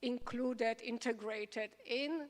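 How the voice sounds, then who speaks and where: A woman moderates an onstage talk, asking questions haltingly and restarting them. Her voice sits somewhat high. A long hall reverb surrounds her. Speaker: scholar moderating an onstage conversation. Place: stage of a large hall before an audience.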